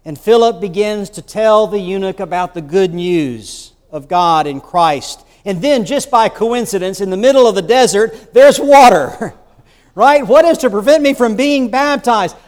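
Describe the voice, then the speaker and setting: A man's voice: high voice, expressive, unhurried, a little mellow. A man preaching a sermon as bishop, telling the story in a conversational way with rhetorical asides. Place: church sanctuary. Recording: long church reverb.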